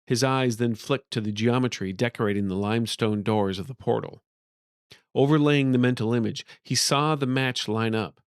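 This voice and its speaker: A man's voice: mid-range voice, moderate pace, clear, resonant tone, no room sound, clean studio sound. A man narrating a scripted fantasy story as an audiobook storyteller.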